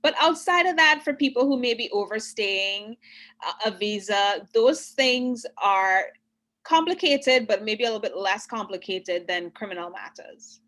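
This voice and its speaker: A woman from Trinidad, an immigration attorney, giving clear explanatory answers in an interview and often checking in with "right?". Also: medium pitch, lively intonation, unhurried, fairly bright tone.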